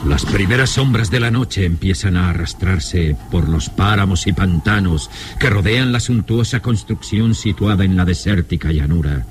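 Solemn deep-voiced male radio serial narrator. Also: expressive, moderate pace, few pauses, over music. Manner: solemn, suspenseful, theatrical. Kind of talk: vintage radio drama scripted narration.

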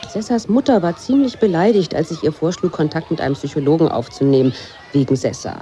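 An actress playing a self-assured, dismissive schoolteacher with a brusque, matter-of-fact way, in a radio drama. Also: female voice, deep voice, brisk, dark tone, close to an everyday voice. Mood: confiding, matter-of-fact.

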